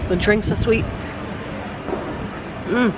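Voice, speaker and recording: A woman's voice: mid-range voice, slow. A woman, chatting casually and emphatically to a handheld camera as a travel vlogger. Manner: expressive, emphatic, informal. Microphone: camera at arm's length. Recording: noisy handheld camera, street and room background.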